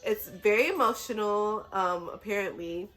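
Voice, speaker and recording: A woman's voice: mid-range voice, moderate pace, pushed and bright, low-quality recording. Effusive woman chatting casually about books. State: slightly sick. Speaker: book vlogger. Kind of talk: casual chatty book talk.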